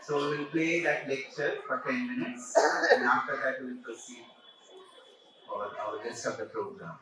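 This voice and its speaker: A man's voice: mid-range voice, normal speed, heavy background noise. A man making informal announcements at a temple ceremony, with fillers between phrases. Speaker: ceremony organizer. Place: open temple courtyard. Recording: distant, echoey mic with a crowd.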